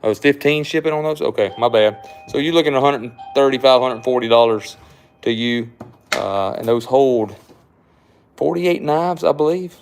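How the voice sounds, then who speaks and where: A man's voice: mid-range voice, moderate pace, faint music behind. A man from South Mississippi with a Southern accent, hosting a casual livestream, rambling and repeating words as he reads viewer comments. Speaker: livestream host, knife shop owner.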